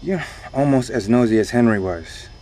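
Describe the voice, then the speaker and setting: An actor playing a guarded, dry-witted man in an audio drama, terse, with a gravelly, husky voice. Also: medium pitch, moderate pace, warm tone, natural voice.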